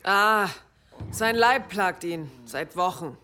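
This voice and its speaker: A woman's voice: medium pitch, expressive, takes it slowly, sharp tone, a little hiss or hum. An actress playing a stern but compassionate middle-aged village mother in a radio drama, speaking firmly and earthily. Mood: worried. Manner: firm, admonishing, earthy.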